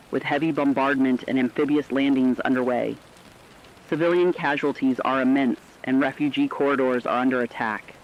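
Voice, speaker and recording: A man's voice: medium pitch, a little hiss or hum, close to an everyday voice. A man playing a solemn radio correspondent, delivering a scripted emergency war report in a formal, dramatic way. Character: somber male radio correspondent reporting global catastrophe. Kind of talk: scripted emergency news broadcast. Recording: dull lo-fi radio sound with rain.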